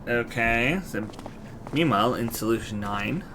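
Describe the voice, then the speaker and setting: A man's voice: medium pitch, measured pace, background noise. A man giving casual playthrough commentary as a game streamer. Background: game music.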